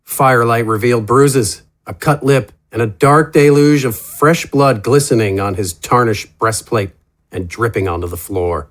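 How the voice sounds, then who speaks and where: A man's voice: medium pitch, moderate pace. Man narrating a dramatic fantasy tale. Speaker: narrator of a fantasy audio story.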